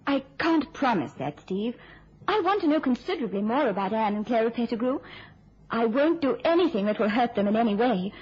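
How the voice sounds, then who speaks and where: Vintage radio play, muffled: earnest young nurse, emotive, highish and swooping. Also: female voice, animated, brisk, darkish tone. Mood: firm, protective. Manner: earnest, emotive, crisp phrasing. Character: caring young working woman, a nurse, earnest and protective. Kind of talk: mid-century radio drama acting. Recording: muffled old-time radio recording.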